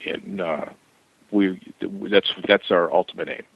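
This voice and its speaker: A man with a very deep voice, speaking carefully and pausing on fillers as a guest. His voice comes through a dull, dry, muffled line. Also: fairly even intonation, vocal fry, no echo. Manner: measured, hesitant with many fillers. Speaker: interview guest. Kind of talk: casual phone interview.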